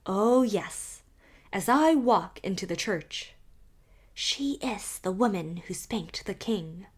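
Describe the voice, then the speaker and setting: A woman doing a regal old Highland widow. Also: expressive, takes it slowly, breathy edge. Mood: regal, insistent. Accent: Scottish Highland. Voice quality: rich and powerful. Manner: regal, lilting, formal phrasing. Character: stately old Highland widow, proud and strange. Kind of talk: audiobook character reading.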